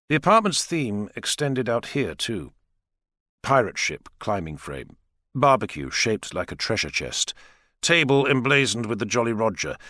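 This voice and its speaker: A man narrating dramatic fiction in a measured, expressive storytelling manner. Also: fairly low pitch, no room sound, clean studio sound. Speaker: audiobook narrator.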